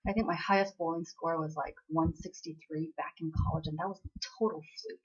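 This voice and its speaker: American woman rambling about tv, lowish husky voice, lots of ums, kinda muffled lo-fi audio. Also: steady tone, brisk. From United States, born in Korea. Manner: chatty, meandering, many fillers. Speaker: drama vlogger. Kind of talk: casual rambling chat.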